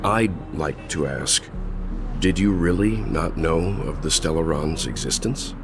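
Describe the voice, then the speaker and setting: An actor playing a courteous but probing male crew elder who speaks directly, recorded as a game dub over a loud score. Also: very deep voice, measured pace, music in the background.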